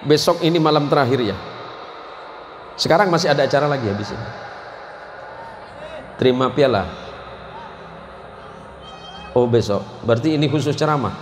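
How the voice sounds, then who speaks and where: Male preacher, Madurese-tinged Indonesian accent, joking and quizzing the crowd, echoey hall, faint background noise. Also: fairly high pitch. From West Kalimantan, Indonesia. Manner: jokes and quizzes the audience, mixes in Madurese and Javanese words. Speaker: Islamic preacher. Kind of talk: humorous sermon to a live audience. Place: large event space with an audience.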